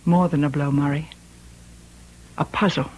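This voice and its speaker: A woman acting as a composed but worried confidante in an old-time radio mystery, speaking earnestly and deliberately. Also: low voice, steady tone, darkish tone, breathy edge, poor audio. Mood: troubled. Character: composed, worried woman confiding about her unstable housemate. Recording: old radio drama recording.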